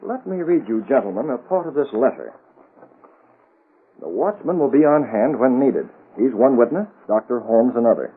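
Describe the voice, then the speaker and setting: An actor playing a shrewd, commanding newspaper man in old-time radio drama, questioning in an assertive, deliberate way. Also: medium pitch, lively intonation, breathy edge. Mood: pressing, probing. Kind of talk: 1930s-40s radio drama acting. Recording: muffled, tinny old radio recording.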